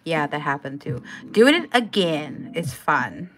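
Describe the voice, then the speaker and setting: Slow-talking woman with a Taiwanese accent. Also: low voice, big pitch swings, a bit bright, faint music behind, low-quality recording. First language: Chinese. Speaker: craft tutorial host. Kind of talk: casual live crochet tutorial.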